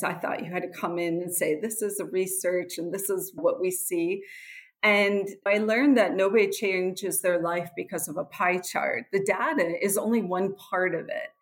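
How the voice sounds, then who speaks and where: A middle-aged woman with an American accent, answering interview questions in an earnest, explanatory way. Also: fairly low pitch. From Switzerland and USA. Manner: earnest, explanatory, occasional laughs. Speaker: guest, nonprofit executive director.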